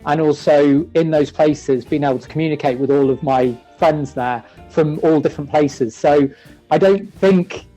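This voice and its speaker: A man from the north-west of England with a British accent and a warm, relaxed voice pitched a little high. He talks casually, circling back to qualify himself. Faint guitar music sits behind him. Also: moderate pace, highs cut off. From Manchester, UK. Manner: rambling, thinking aloud, self-qualifying. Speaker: interview guest. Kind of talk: casual chat.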